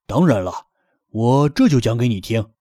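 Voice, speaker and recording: A calm, hospitable bear dad from a bedtime storybook, voiced by a man, speaking in an easy, friendly tone. The voice is slightly husky and recorded dry and close. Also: mid-range voice, normal speed, no room sound. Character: warm, hospitable bear father.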